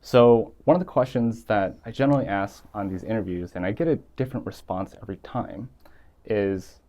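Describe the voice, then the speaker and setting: A young man hosts a podcast from a small studio room, with a formal and earnest delivery. His voice sits low. The sound is dry and close, with no room echo. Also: fairly quick, warm tone. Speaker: podcast host. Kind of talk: scripted intro then interview questions. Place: small dim studio room, seated in armchair.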